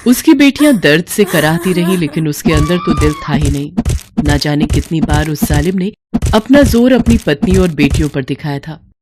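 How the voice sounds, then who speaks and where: A woman's voice: lowish voice, wildly swooping pitch, normal speed, noisy background. A woman storyteller delivering scripted, dramatic narration of a moral tale.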